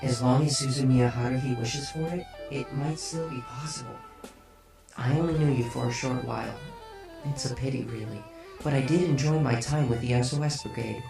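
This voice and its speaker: A man playing a calm, polite young man who now appears as a glowing spirit, speaking smoothly with a light teasing edge. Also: medium pitch, normal speed, close to an everyday voice, rough recording. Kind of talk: fan audiobook acting. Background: music.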